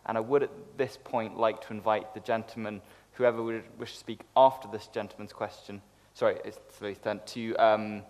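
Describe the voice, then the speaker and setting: A man chairing a public debate, polite and formal as he hands over the floor. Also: on the low side. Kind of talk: moderating a debate. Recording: long hall reverb.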